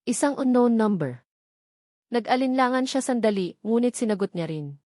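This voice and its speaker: A woman narrating a scripted romance story in a measured, melodramatic read-aloud manner. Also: a little high, animated, warm tone, no echo, clean studio sound.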